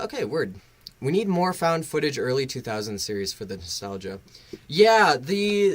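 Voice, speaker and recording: A man hosting a casual live reaction stream, rambling and swearing, bluntly critical with a sarcastic, self-deprecating streak. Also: mid-range voice. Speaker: livestream host and reviewer.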